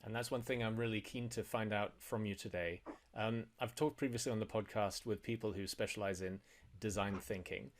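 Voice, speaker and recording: Low-voiced male podcast host asking questions. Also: brisk. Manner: conversational, probing questions. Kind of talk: podcast interview and self-promotion.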